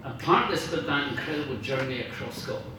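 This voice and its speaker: A man's voice: medium pitch, lively intonation, quick pace. Enthusiastic man speaking in a very echoey room. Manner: enthusiastic, emphatic, searching for words. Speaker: guest speaker, radio dramatist. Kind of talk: informal after-dinner talk about writing. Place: large indoor room at a club dinner. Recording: very echoey room, distant mic, faint noise.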